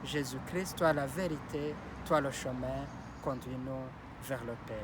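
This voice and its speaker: A man's voice: mid-range voice, steady tone, unhurried, noisy background. A priest whose first language is Kinyarwanda or Kirundi gives a solemn Sunday homily and repeats his blessing phrases. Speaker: parish priest.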